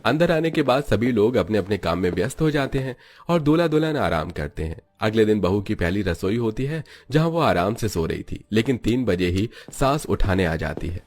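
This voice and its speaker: Guy narrating a storybook tale, lowish voice, scripted read. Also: big pitch swings, normal speed, a little mellow.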